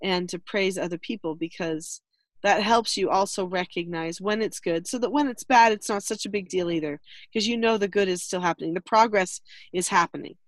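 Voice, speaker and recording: A woman co-hosting a casual advice chat, explaining calmly and earnestly, laughing now and then. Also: fairly low pitch, fairly quick, no room sound. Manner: earnest, instructive, laughs often. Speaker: podcast host, parenting teacher.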